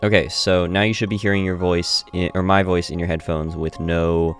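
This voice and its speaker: Low-voiced man casually explaining audio settings. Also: normal speed, faint music behind. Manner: relaxed, self-correcting explainer. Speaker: streamer demonstrating audio software. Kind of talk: casual screen-recorded tutorial. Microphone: studio dynamic mic through audio interface.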